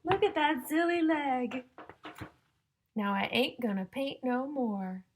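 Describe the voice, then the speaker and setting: A woman reading a picture book aloud to young children in a sing-song, exaggerated way, pausing to ask and explain like a teacher. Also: sits high, lively intonation, slight background noise. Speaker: children's story-time reader and teacher.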